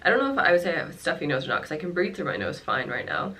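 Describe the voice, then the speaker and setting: A woman's voice: low-pitched, big pitch swings, rapid-fire, pushed and bright, a little hiss or hum. A young woman with a congested, nasal voice, casually chatting to the camera at home about how she has been feeling, rambling with fillers and little laughs. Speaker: vlogger. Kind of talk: casual talk to camera about her illness. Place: her home.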